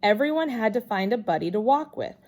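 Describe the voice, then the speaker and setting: A woman giving an expressive read-aloud of a children's chapter book in an animated manner. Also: on the high side, fairly quick. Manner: animated, acts out the dialogue. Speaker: storybook reader.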